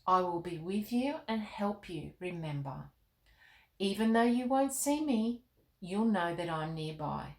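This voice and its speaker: A woman voicing a kindly, majestic king in a children's storybook, measured, gentle and reassuring. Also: lowish voice, animated, roomy sound.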